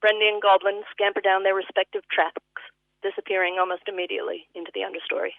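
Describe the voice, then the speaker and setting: A woman's voice: medium pitch, level tone, quick pace, creaky, dry recording. A woman with an Australian accent reading a personal eulogy as vivid literary storytelling. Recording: thin phone-line sound.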